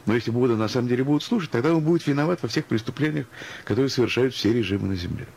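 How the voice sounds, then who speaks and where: A man's voice: medium pitch, animated, racing, vocal fry, a little mellow, a little hiss or hum. A man speaking as a guest in a radio interview, musing and ironic, arguing through anecdotes and examples. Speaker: interview guest, music professional.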